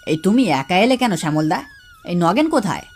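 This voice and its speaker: Woman acting a low-voiced young village grandson. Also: animated, fast, darkish tone. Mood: casual, unsure. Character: caring, plain-spoken young village grandson. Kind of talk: Bengali cartoon story acting.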